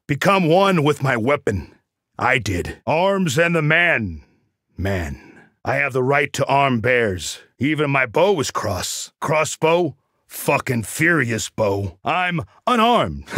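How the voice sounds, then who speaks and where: A cocky crossbow assassin from a video game, dry and sardonic. His delivery is unhurried and swings widely in pitch, with a bright, forceful edge. He boasts in short deadpan quips. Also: no echo, exaggerated voice. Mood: boastful, playful. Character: cocky, wry male Alaskan crossbow assassin mercenary.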